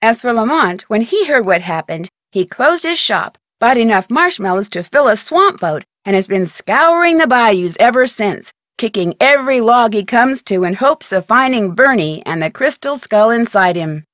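A young woman detective narrates a mystery game with composure and dry wit. Her delivery is measured and storylike, recorded close and dry. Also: on the high side, animated, a bit bright, no echo. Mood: composed, wry. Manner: clear measured storytelling, dryly wry.

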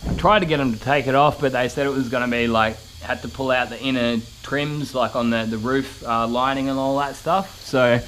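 A man's voice: medium pitch, fairly bright tone, poor audio. A man in his thirties giving a casual, rambling, blokey walkaround vlog as a car painter, recorded on a handheld camera in a large workshop. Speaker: car spray painter and YouTube vlogger. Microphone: action camera at arm's length. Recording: clear workshop noise, handheld action camera.

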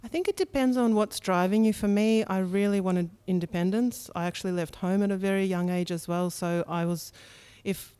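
A woman's voice: mid-range voice, fairly even intonation, moderate pace, few pauses, warm tone, clean tone. A woman in her thirties, originally from Russia and, talking candidly as a podcast guest on a studio mic, with frequent ums. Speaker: startup co-founder, podcast guest. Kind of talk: relaxed interview conversation. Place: bright studio room with a window. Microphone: studio mic on a boom arm.

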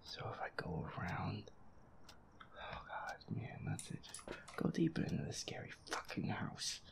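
Male gamer, whispered and creaky, anxious sweary muttering, out of breath, horror playthrough. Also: low-pitched, big pitch swings, unhurried. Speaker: video game player.

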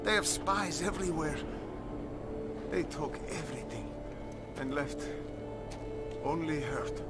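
An actor playing an anguished Afghan informant and father, speaking haltingly and earnestly with an Afghan accent in a video game. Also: on the high side, animated. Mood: bitter, pleading. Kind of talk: video game cutscene acting. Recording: loud cinematic music behind the voice.

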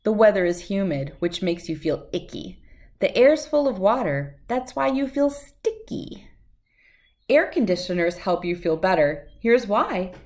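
Middle-aged female storybook reader, mid-pitched, measured sing-song delivery of rhyming children's verse. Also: sing-song, darkish tone. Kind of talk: read-aloud of a rhyming picture book.

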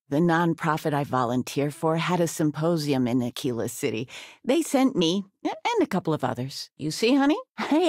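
A chatty retired mom from a sci-fi video game, doting on a grown child and gently teasing. Her voice sits a little low with a crackly fry and swings widely in pitch. The recording is dry and close. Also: dry recording, full character voice. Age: older. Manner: affectionate, teasing, expressive. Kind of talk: video game character dialogue.

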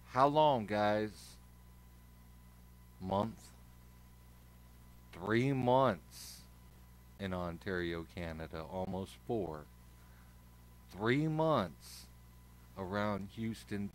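Slow, low-pitched folksy man. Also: rough recording. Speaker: chat room host. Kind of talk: radio-style sign-off talk. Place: indoors, online voice chat.

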